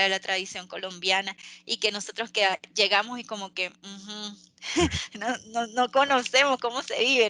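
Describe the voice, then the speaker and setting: A Venezuelan woman speaking earnestly as an interview guest, pausing and restarting often. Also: medium pitch, pushed and bright, dry recording. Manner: earnest, halting with many restarts and fillers. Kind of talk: interview over a call.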